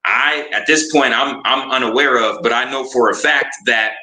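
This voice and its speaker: A man's voice: medium pitch, fairly even intonation, moderate pace, pushed and bright. Stammering man in an echoey room. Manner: hesitant, stammering starts then earnest explaining. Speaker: guest.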